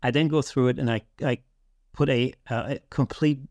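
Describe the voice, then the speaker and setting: A man's voice: medium pitch, steady tone, moderate pace, darkish tone, dry recording. A man hosting a podcast, explaining his process in a casual, methodical, step-by-step way. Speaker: podcast host.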